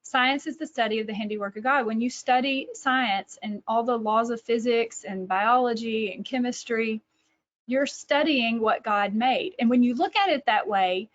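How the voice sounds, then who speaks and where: A woman's voice: fairly high pitch, a little slow. A middle-aged woman with a Texas accent, telling a personal story in a presentation, with many fillers and restarts. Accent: Texan. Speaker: author and catechesis presenter. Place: home room, indoors. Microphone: webcam on video call. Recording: dull lo-fi webcam audio.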